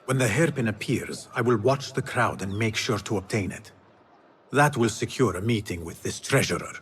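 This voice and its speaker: An actor playing a determined, serious young assassin in a video game, speaking in an earnest, terse way. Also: male voice, lowish voice, moderate pace, a bit bright, slight background noise, lightly acted. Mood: confident. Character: determined, serious young male assassin, former street thief.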